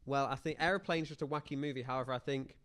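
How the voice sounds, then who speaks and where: A man's voice: medium pitch, steady tone, fast, a bit bright. A man talks casually as a podcast host about film. He is opinionated and likes to act out imagined reactions as he makes his points.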